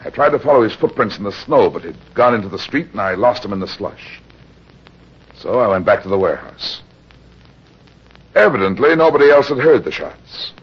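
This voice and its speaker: An actor playing a tough, matter-of-fact American private detective, with clipped old-time radio noir delivery. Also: male voice, on the low side, big pitch swings, normal speed, slightly rough, highs cut off. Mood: tense, grim narration. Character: hard-boiled, unsentimental male private detective in pulp radio drama.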